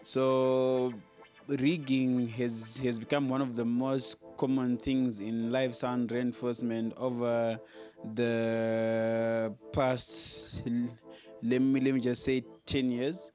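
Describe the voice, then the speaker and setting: A man's voice: mid-range voice, a little mellow. A man giving an informal explainer as a sound engineer, earnest and emphatic, often repeating words as he goes. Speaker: sound engineer and video host giving advice.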